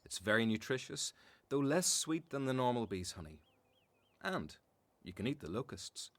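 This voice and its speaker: A man's voice: medium pitch, sing-song, moderate pace, fairly bright tone. A man playing a devout, helpful member of a desert community, explaining sincerely in a radio drama. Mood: patient, informative. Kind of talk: religious radio drama acting.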